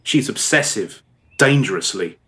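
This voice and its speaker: A male actor plays a guilt-ridden married father confessing to his daughter in a radio drama. His mid-pitched voice swings up and down expressively as he rambles through every detail, hesitating as he remembers. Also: animated, measured pace, fairly bright tone. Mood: uneasy, bitter. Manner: rambling, over-descriptive, hesitant reminiscing. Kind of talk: radio play dialogue, storytelling monologue.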